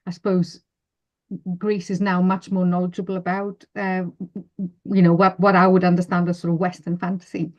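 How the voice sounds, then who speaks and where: A woman's voice: on the low side, little pitch movement, moderate pace, a little mellow, lo-fi sound. A woman speaking as an author on a roundtable panel, thoughtful, with a few fillers. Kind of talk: academic roundtable discussion.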